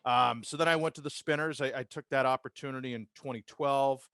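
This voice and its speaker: A man's voice: mid-range voice, steady tone, fairly quick, a bit bright, no echo, clean studio sound. A man with an American accent, telling stories about his working life in a casual interview. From Massachusetts, United States. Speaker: podcast guest, sports public address announcer and radio host. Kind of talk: casual conversational interview, career storytelling.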